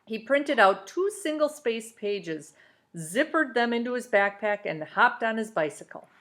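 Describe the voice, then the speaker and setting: A woman reading a children's novel aloud in an expressive storytelling style. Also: on the high side, a little slow.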